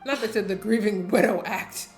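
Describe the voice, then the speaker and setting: A woman's voice: mid-range voice, normal speed, reverberant. Casual young woman streamer over game music. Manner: slangy, wry asides. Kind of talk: casual live game commentary.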